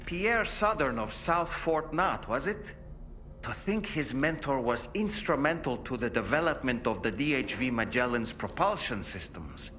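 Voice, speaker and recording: An actor playing a reflective companion in a video game, musing aloud in eloquent, wordy phrases. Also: male voice, mid-range voice, lively intonation, measured pace, fairly bright tone. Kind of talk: video game cutscene acting. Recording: game dialogue over faint ambient noise.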